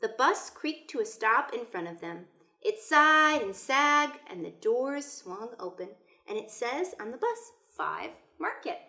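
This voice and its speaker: A woman with a fairly high, very lively voice reads a picture book aloud like a teacher to small children. Her delivery is expressive and explanatory, and the room adds noticeable echo. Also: a little slow. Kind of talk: animated children's storybook read-aloud with asides.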